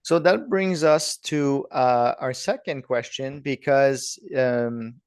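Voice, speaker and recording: A man's voice: mid-range voice, slow, clear, resonant tone, no echo. A man hosting a podcast, upbeat and direct as he asks questions. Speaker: podcast host. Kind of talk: podcast interview.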